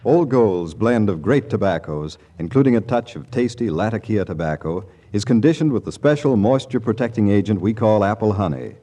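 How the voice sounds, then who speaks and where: A man's voice: on the low side, expressive, a little fast, hardly any pauses, warm tone. A man reading a scripted cigarette commercial as a 1940s radio announcer. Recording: old 1940s radio broadcast.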